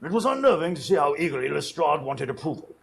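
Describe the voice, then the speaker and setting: A man playing a detective's older companion, narrating a radio drama in a measured, wry way. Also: a little high, sing-song, gravelly, slight background noise. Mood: grave, observant. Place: performance space in front of a live audience.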